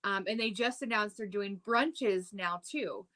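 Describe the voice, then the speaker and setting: A woman in her thirties chatting loosely on a podcast, her voice bright and forward. She rambles through her stories, filling gaps with likes and ums. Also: mid-range voice, measured pace. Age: 30s. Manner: chatty, storytelling with lots of "like" and "um". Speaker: podcast co-host. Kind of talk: casual chat.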